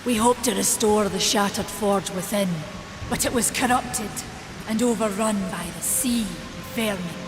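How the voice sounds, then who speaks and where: An actress playing a wise, solemn maker in a video game, measured and earnest with a Scottish accent, in a long reverb. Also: female voice, medium pitch, over music, natural voice. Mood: regretful, concerned. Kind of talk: dramatic video game cutscene acting.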